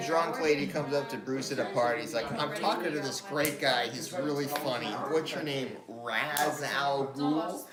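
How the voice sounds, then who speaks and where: A man's voice: medium pitch, normal speed, few pauses, echoey room, a loud crowd. A man telling a comic story in a casual style.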